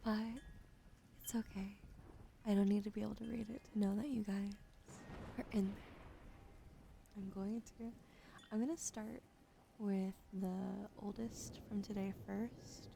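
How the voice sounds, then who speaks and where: Slow whispering woman with gravelly fry. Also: medium pitch, little pitch movement, a little hiss or hum. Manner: soft whispers, drawn-out spelling of follower names; slow and gentle, swears and laughs in between. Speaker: ASMR streamer. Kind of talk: ASMR whispering to a live stream chat. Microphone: studio mic.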